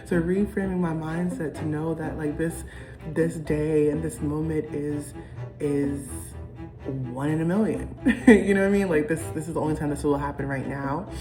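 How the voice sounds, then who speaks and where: A woman's voice: deep voice, steady tone, normal speed, warm tone. A young woman vlogging in a casual, rambling, reflective way, with lots of ums and restarts as she talks to camera. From United States. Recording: music playing behind.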